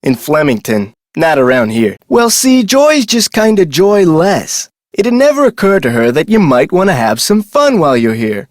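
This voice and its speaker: Video game park guard, adult male: eager, folksy talker, slightly high-pitched, very lively inflection. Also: moderate pace, hardly any pauses, no room sound, studio quality. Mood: chatty, informative. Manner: folksy, colloquial, animated delivery. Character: chatty, eager amusement park security guard, adult man. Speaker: security guard character. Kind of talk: scripted video game character dialogue.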